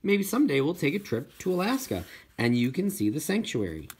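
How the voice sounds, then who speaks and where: A man voicing a mother in an audiobook, gently soothing and reasoning with an upset child. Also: fairly high pitch, huge pitch swings, a little fast, husky, pitched above the performer's own voice, heavily acted. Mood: placating.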